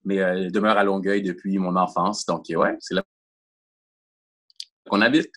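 Low-voiced Quebec French man on a video call. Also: little pitch movement, a little slow, fairly bright tone. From Montreal, Quebec. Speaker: incoming church staff member. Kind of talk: casual video-call interview. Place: home room with bookshelf. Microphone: laptop camera on a video call.

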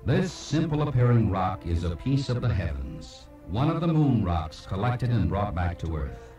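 A very roomy, distant cassette recording of a man narrating over music. His delivery is formal, measured and solemn, like a scripted slide-show documentary. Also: fairly low pitch, big pitch swings, dark tone. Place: played from a cassette, heard in a room. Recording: echoey, distant playback of cassette tape.

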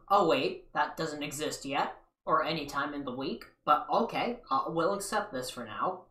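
A man's voice: sits high, bright, forceful tone. A young man giving a rambling, casual recap commentary from an armchair in a small living room, with sarcastic asides. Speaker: video commentator. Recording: echoey room, mic far off.

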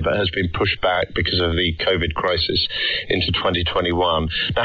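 A man's voice: low voice, a little hiss or hum. A man presenting a current-affairs radio programme, asking questions and reading scripted links. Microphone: broadcast heard over shortwave radio. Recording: muffled shortwave radio capture.

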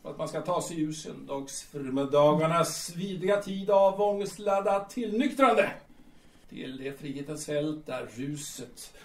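An actor in an audio drama playing a boastful, cantankerous young absinthe-drinking poet with halting, repetitive, bombastic delivery. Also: male voice, fairly high pitch, close to an everyday voice. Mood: grandiloquent. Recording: roomy, distant mic, faint noise.

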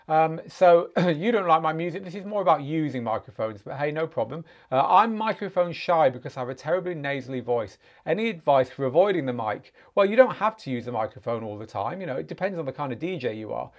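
A man in his forties hosting a live chat stream from a small home studio, answering questions with a chatty, friendly manner and plenty of "uh" fillers. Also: on the high side. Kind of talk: live-stream Q&A, casual teaching. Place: small home studio with speaker and acoustic panels.

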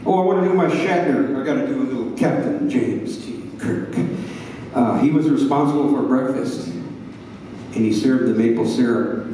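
A man's voice: mid-range voice, moderate pace, warm tone. An older man doing rambling, folksy stand-up on a small stage, often checking in with his audience. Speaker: stand-up comedian at an open mic. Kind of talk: stand-up comedy. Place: small bar stage, indoors. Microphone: handheld mic through speakers, recorded by a far camera. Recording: echoey room, distant, noisy.

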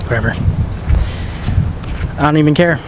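A man's voice: fairly high pitch, normal speed. A young man in his twenties talking casually while fishing from a waterfront dock, filmed on an action camera. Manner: excited, frustrated. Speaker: fishing vlogger. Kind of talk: casual vlog narration. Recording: outdoor camera with ambient noise.

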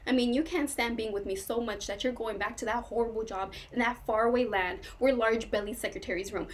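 A woman's voice: fairly high pitch, steady tone, brisk, close to an everyday voice. A woman performing a stage monologue as a troubled young woman, intense, wounded and pleading with her lover. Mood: despairing, bitter.

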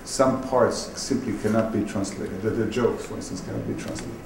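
A man from Bosnia, a native Bosnian speaker, moderating a panel discussion in halting, reflective phrases. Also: on the low side, very animated. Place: public event room, recorded at a distance. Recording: distant echoey hall, clearly noisy.

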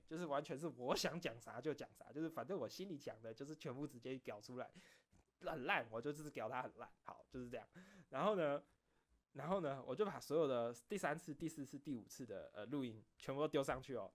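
A man's voice: medium pitch, fast. A man telling personal stories in a casual streamer's chat, rambling and often repeating phrases for emphasis. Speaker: online streamer and game content creator. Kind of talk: casual late-night chat, personal storytelling.

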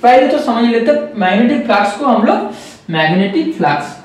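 A man's voice: extremely high pitch, very animated, brisk, very echoey, distant mic, low-quality recording. A man explaining a circuit step by step to viewers, repeating key points and calling them friends. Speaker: electronics trainer. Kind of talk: whiteboard circuit lesson.

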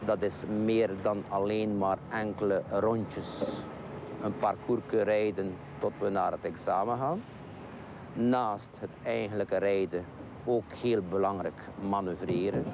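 A Flemish man in his fifties with a lowish voice lays out points in measured lists. He was recorded outdoors on a lot, and traffic sound can be heard clearly behind him. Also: steady tone. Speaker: driver training coordinator. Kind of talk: interview answers.

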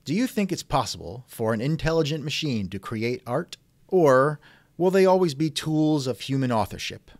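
A man's voice: mid-range voice, very animated, moderate pace, no room sound. A man hosting a scripted podcast interview with a dry, sardonic manner, close on the microphone.

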